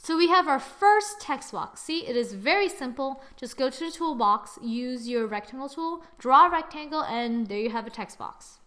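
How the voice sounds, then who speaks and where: High-voiced woman chatting through a tutorial. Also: animated, normal speed, few pauses, a bit bright. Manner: chatty, self-mocking, thinks aloud while clicking. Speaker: software tutorial presenter. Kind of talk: screen-recorded software tutorial.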